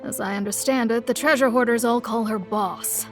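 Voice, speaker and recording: A woman's voice: on the high side, fairly even intonation, moderate pace, lightly acted. A woman playing a polite young guild receptionist in a video game, speaking formally and courteously. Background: faint game music.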